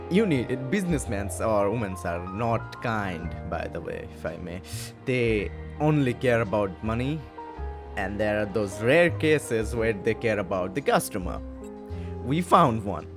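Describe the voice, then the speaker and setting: Man, medium pitch, slow halting delivery, casual gaming commentary, mildly sweary, game music clearly behind.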